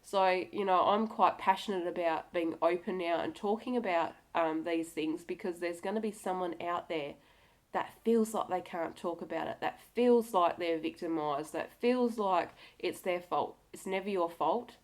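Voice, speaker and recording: A woman's voice: lowish voice, normal speed. A woman with a slightly nasal, cold-affected voice talking candidly to viewers in an earnest, direct and encouraging way. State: has a cold. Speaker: video blogger. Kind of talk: candid personal talk to camera.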